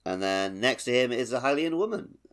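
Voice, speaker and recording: A man's voice: mid-range voice, animated, brisk, a bit bright, clean tone. A man co-hosting a podcast, discussing a game casually and explaining things informally with loose, conversational phrasing. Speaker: podcast co-host.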